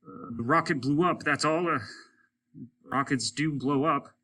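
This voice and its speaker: A man's voice: on the high side, unhurried, sharp tone, recorded from a distance, voice pushed up. A man playing a resigned, matter-of-fact astronaut in a story, speaking a little hesitantly.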